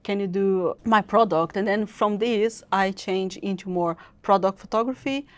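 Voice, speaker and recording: A woman's voice: medium pitch, moderate pace, no echo. A woman in her thirties who grew up in Brazil, the founder of an agency, chatting in an interview, laughing often and restarting her sentences. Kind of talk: casual interview chat. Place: content creation studio.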